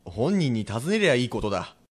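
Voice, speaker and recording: A man playing a frank, steely Edo-era merchant husband with rough old townsman speech in a radio drama. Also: mid-range voice, sing-song, a little fast. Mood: placating. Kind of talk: period radio drama acting.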